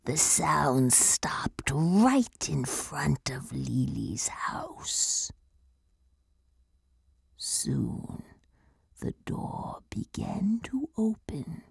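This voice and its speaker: A woman narrating a spooky folk tale in a hushed, eerie storytelling manner. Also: sits low, very animated, extremely slow, creaky, slightly rough, dry recording, whispered, clean studio sound.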